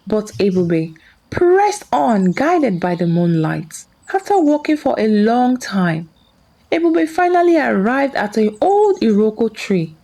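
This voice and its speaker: Animated woman storyteller with mellow voice. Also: on the high side, low-quality recording. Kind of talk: scripted folktale narration.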